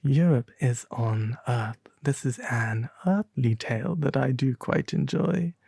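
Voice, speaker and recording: A man voicing a refined owl demon prince, reading a fairy tale as a soft, theatrical ASMR storybook roleplay. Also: medium pitch, dry recording, clean studio sound, exaggerated voice. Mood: gentle, wistful. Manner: refined, theatrical, unhurried, breathy asides.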